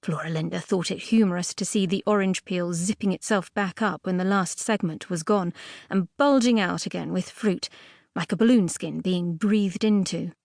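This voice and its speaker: A woman reading a storybook fairy tale as a scripted audiobook narration, expressively and a little primly, with dramatic emphasis and pauses. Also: lowish voice, vocal fry, a little mellow, no room sound. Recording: dry close studio audiobook recording.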